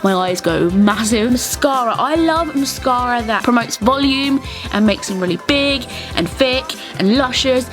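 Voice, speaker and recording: Young British female beauty vlogger, higher-pitched voice, informal and chatty tutorial read, music playing behind. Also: animated, measured pace. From England. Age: young adult. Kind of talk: casual makeup and hair tutorial voice-over. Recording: music bed under the voice. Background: clear background music.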